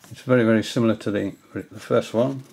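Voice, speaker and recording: An older man at home giving a hesitant, casual tutorial, often pausing with fillers as he shows his reels. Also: lowish voice, steady tone, darkish tone. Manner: hesitant, many fillers and restarts. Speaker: angling hobbyist giving a tutorial. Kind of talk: casual explanatory demonstration. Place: indoors, small room at home.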